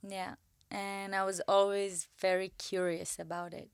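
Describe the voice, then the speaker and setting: Slow, soft-spoken woman guest. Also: medium pitch, level tone, clear, resonant tone, no echo, clean studio sound. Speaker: podcast guest. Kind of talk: casual interview chat.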